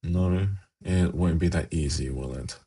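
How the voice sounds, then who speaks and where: A man playing a suave, haughty demon prince in an ASMR fantasy roleplay, speaking in a measured, formal, silkily condescending way with a gravelly, husky voice. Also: sits low, warm tone. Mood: soft, coaxing.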